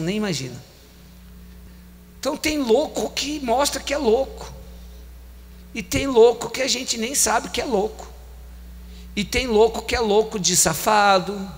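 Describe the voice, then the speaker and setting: A man in his forties with a Brazilian Portuguese accent, preaching a sermon on a handheld mic, repeating phrases with emphasis and slowing down on key lines. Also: sits high. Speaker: bishop preaching. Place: large church hall. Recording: long church hall reverb, faint hum.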